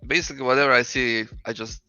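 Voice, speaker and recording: A man's voice: medium pitch, steady tone, fast talker, bright, forceful tone, clean tone. A man from Croatia with a Croatian accent, chatting casually as an interview guest, hesitant and joking. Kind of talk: casual unscripted chat. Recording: dull lo-fi stream audio, faint music.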